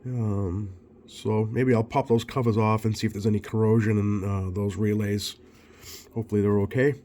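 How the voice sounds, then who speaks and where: A man's voice: lowish voice, flat delivery, normal speed, mellow. A man giving casual, off-the-cuff narration as a repair technician, thinking aloud in a hesitant way. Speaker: radio repair technician hosting a bench video.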